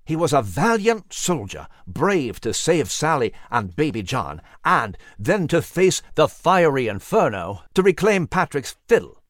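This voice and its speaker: A man's voice: on the high side, very animated, a little slow, few pauses, dry recording, clean studio sound. A man voicing a hearty, proper-mannered male animal in a storybook reading. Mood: solemn.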